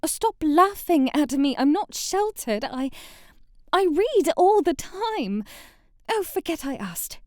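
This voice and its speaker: A woman's voice: very high-pitched, sing-song, takes it slowly, a little mellow, no room sound, clean studio sound, exaggerated voice. A woman playing a sheltered young princess in an intimate ASMR roleplay, with prim old-fashioned diction, a coy, hesitant manner and a haughty streak. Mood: indignant, haughty. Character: sheltered young princess, flustered, haughty, bookish and secretly curious.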